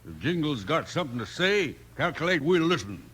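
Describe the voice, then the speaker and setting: An actor playing a folksy, mildly officious small-town mayor with a homespun drawl in a 1950s radio western. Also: male voice, fairly high pitch, very animated, fairly quick, a bit bright, breathy edge, exaggerated voice. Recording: noisy old radio recording.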